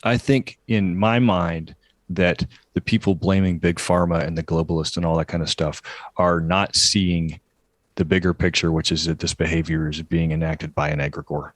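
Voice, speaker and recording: Very deep-voiced man explaining calmly. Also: moderate pace, no room sound. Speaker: interview guest. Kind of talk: interview answer.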